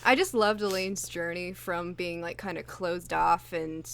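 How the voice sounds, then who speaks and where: A woman's voice: on the low side, fairly even intonation, measured pace, creaky. A woman, a voice actress, chatting casually and enthusiastically in an interview.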